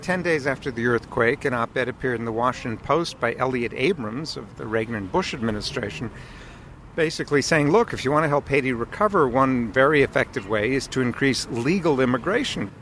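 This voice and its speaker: A man's voice: mid-range voice, lively intonation, fairly quick, a bit bright, a little hiss or hum. A man interviewed as an advocate, explaining a policy issue with the odd filler. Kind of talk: interview clip.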